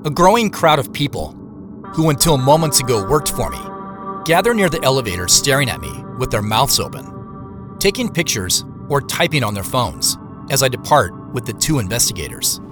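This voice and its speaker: A reflective man narrates his own story in an audio drama as played by an actor. His mid-pitched voice moves with lively inflection, and music plays clearly behind his scripted telling. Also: moderate pace, natural voice. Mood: reflective, measured. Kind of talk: scripted first-person narration.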